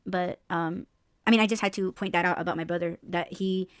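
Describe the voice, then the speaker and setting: A woman hosting a podcast in casual chat, loud in tone, laughing often and swearing freely, with little bass in her voice. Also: steady tone, a little fast, clean tone, dry recording, clean studio sound. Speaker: podcast host.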